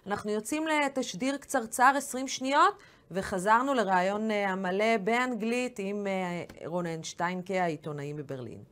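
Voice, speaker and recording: A woman with an Israeli accent interviews as a show host. She speaks at a fast pace at a middling pitch, though she often pauses mid-sentence to find the next phrase. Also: fairly bright tone. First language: Hebrew. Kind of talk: news interview.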